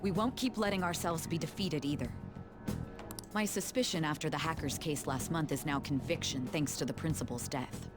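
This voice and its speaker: A cold, ambitious female prosecutor, played by a woman, pitched low and clipped. She sounds hard and determined. Game music plays behind her. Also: moderate pace, lightly acted. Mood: stern.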